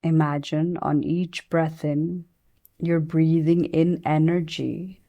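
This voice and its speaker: A woman guides a meditation in a soft, very mellow voice. She speaks very slowly, leaving long pauses between phrases. Her pitch sits a little low, and her delivery is soothing and gentle. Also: level tone, muffled recording.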